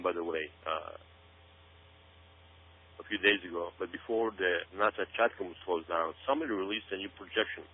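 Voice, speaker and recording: Emphatic man, thin narrow-band radio sound. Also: on the low side. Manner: emphatic, repetitive, with rhetorical questions. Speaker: guest commentator on a radio show. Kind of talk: dramatic speculative commentary to listeners.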